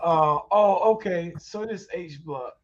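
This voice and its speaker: A man's voice: high-pitched, takes it slowly. Slangy man, muffled call audio. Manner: slangy, loose, swears a lot, laughs often. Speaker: host. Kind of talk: casual online voice chat. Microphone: online call mic.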